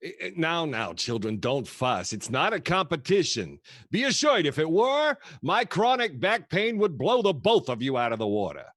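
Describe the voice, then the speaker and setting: A man's voice: a little high, big pitch swings, normal speed, a bit bright, no echo, studio quality. Man playing a kooky, swooping old grandfather. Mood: flustered, genial. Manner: rambling, theatrical, hesitant muttering with sighs. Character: kooky, rambling old grandfather, doddering and affectionate. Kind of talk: comic stage monologue and dialogue.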